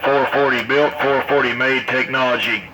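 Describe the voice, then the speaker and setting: A man makes a radio test call in a flat, mid-pitched voice. It comes through a CB talkback with very loud noise all over it. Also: normal speed.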